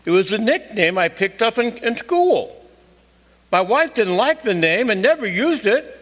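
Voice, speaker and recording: A man plays an anxious husband under suspicion in an old-time radio drama. The voice is high and swings widely, earnest and halting, in an echoey hall. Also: big pitch swings, fairly bright tone, heavily acted. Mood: defensive, pleading. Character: nervous, defensive husband under police suspicion. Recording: echoey hall stage recording.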